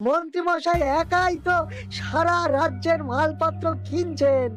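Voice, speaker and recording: A woman's voice: very high-pitched, measured pace. A woman voicing a harried, wary male village grocer in a cartoon, fussy and flustered. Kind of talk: cartoon dialogue.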